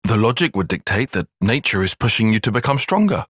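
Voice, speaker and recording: A man playing a cerebral, earnest young soldier and strategist in a video game, speaking in precise, formal, analytical language and quick to exasperation. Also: on the low side, sing-song, a bit bright, slightly rough, no room sound, clean studio sound, full character voice. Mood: measured, analytical. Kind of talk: video game cutscene voice acting.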